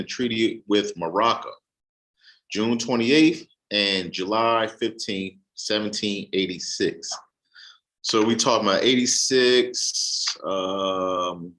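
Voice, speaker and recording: Guy with a lowish voice talking casually, reads stuff out loud, lots of restarts. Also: rough recording. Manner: reads aloud with halting restarts. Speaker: host. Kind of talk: casual explanatory talk.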